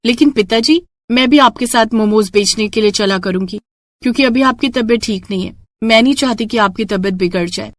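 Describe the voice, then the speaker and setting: A woman's voice: a little high, fairly quick, dry recording, clean studio sound, lightly acted. A woman voicing a dutiful, worried young village daughter in an earnest, respectful cartoon story voice. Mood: concerned.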